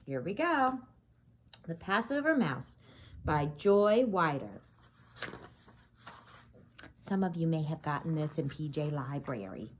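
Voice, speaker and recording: A woman with a husky voice reading a children's story aloud expressively, pausing to explain and ask questions. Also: low-pitched, slight background noise. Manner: expressive, explains and asks questions to young listeners. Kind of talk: animated read-aloud of a children's story with asides.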